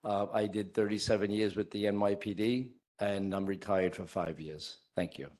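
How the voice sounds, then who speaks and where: A man's voice: lowish voice, fairly even intonation, moderate pace, a little mellow. A man in his sixties introducing himself plainly and briefly as a board member.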